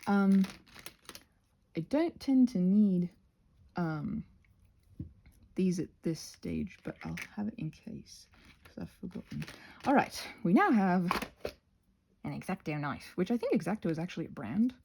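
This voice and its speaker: Female craft host, scattered and playful, lively swoops with creaky, slightly husky edges, casual thinking-aloud delivery. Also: on the low side, a little slow, long pauses, slight background noise. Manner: playful, expressive exclamations. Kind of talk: rambling casual craft narration.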